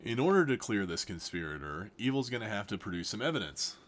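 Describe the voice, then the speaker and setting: A man's voice: lowish voice, sing-song, quick pace, fairly bright tone. Man explaining card game rules. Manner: explains rules plainly, then plays it up with jokey asides. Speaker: game demonstrator. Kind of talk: scripted game tutorial with comic banter.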